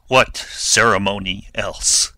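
An actor playing a bitter, hot-headed young nobleman in mourning, declaiming forcefully in a Shakespeare audiobook drama. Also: fairly low pitch, steady tone, slow pace, no room sound, exaggerated voice. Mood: bitter grief.

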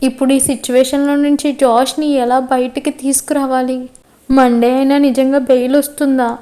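A woman's voice: high voice, flat delivery, brisk, dark tone, lightly acted. A woman playing an anxious, devout and stubbornly loyal young woman in a web series drama, with an earnest, pleading manner. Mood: distressed, fearful.

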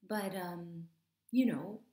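A woman in her thirties, an English teacher reading aloud to young children, dramatic and deliberate, with hushed stretches. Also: lowish voice. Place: small room at home, indoors. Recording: roomy indoor echo.

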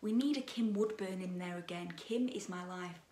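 A young woman in her twenties chatting while she does her makeup at a dressing table, gossipy and opinionated, her voice a bit croaky. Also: fairly low pitch, moderate pace, warm tone, echoey room, distant mic, slight background noise. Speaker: beauty vlogger. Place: bedroom dressing table with lit mirror, indoors.

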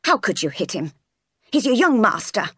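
A woman's voice: medium pitch, animated, a little slow, dry recording, studio quality, natural voice. Woman acting a stern, scolding maid. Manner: reproachful, moralizing.

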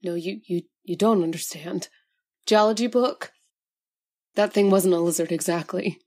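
Brief: actress as a devoted, frightened young woman in a radio horror play; husky and pleading. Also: fairly low pitch, normal speed, darkish tone, lightly acted. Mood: hushed, fearful. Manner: breathless, pleading. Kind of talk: old-time radio drama acting.

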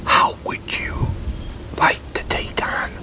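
Whispering man in his sixties, slow and halting. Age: 60s. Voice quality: breathy, unvoiced whisper. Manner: halting, with pauses and one-sided conversation. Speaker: ASMR roleplayer playing a wrestling promoter. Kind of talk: whispered ASMR roleplay. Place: open covered outdoor picnic area with metal tables.

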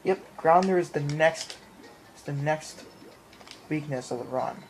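Rambling male gamer over clear background noise. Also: on the high side, measured pace. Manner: rambling, repeats phrases. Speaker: gamer recording his playthrough. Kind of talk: casual gameplay commentary. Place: indoor room in front of a TV. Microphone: handheld camera or phone filming the screen. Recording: noisy handheld camera recording in a room.

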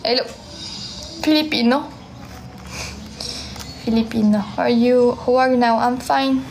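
Young french woman on a phone livestream, high voice, soft and slow, faint room hum. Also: steady tone. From France. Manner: soft-spoken, slow and friendly, answering comments one by one. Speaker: livestream host. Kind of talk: live stream chat, reading viewer comments. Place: small room indoors. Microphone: phone held at arm's length.

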